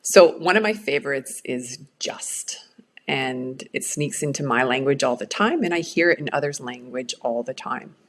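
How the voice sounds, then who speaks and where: A woman's voice: extremely low pitch, expressive, moderate pace. A middle-aged woman whose first language is Swedish, giving an earnest, reflective workshop talk on change management.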